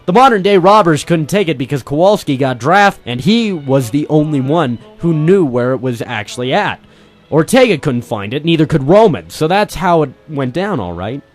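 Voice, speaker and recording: Man playing animated radio private eye, music behind. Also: a little high, moderate pace. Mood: satisfied, explaining. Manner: dramatic first-person storytelling. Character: brave, wry male private eye, ex-Marine, narrating. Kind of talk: 1940s radio detective drama acting.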